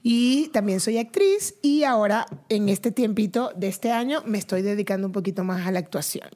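A woman's voice: mid-range voice, expressive, moderate pace, dark tone. A Venezuelan woman co-hosting a vlog in casual, spontaneous chat, bantering and easily amused. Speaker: vlog co-host, publicist and actress.

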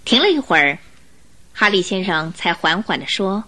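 Bright, animated woman narrating a radio drama. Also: on the low side, normal speed. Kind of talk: expressive scripted storytelling narration.

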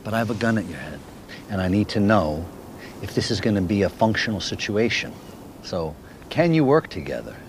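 A menacing old tycoon, slow and blunt. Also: male voice, fairly low pitch, sing-song, background noise. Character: menacing older male business tycoon. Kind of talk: television drama acting.

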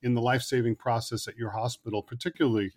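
A man's voice: medium pitch, steady tone, fast, a little mellow. Male interview host, middle-register voice, conversational delivery with some prepared phrasing. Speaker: podcast host interviewing a guest.

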